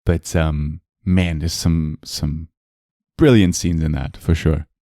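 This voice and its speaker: Low, mellow-voiced man on a podcast. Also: takes it slowly, no room sound, studio quality. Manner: relaxed, hesitant, many ums. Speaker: podcast co-host. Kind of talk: casual film chat.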